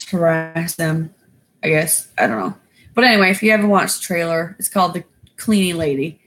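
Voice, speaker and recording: Raspy-voiced woman chatting on a livestream. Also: fairly low pitch. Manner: rambling, loose, lots of fillers. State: winded after exercising. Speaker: YouTuber going live. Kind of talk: casual livestream chat.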